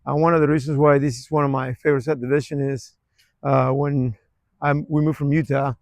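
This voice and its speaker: A man, giving a relaxed, rambling walking tour of his old neighborhood outdoors, with lots of fillers and restarts. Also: medium pitch, fairly quick, no echo. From Argentina. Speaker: real estate vlogger.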